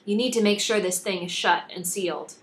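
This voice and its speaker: Woman voicing a brisk, no-nonsense female ship mechanic. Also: medium pitch, slight background noise, close to an everyday voice. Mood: commanding. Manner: brisk, instructing.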